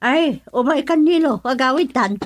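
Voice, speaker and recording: Fretful, high-pitched Filipina mother. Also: lively intonation, moderate pace. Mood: worried. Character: worried, fretful mother fearing trouble for her son. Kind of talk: Ilocano radio drama acting.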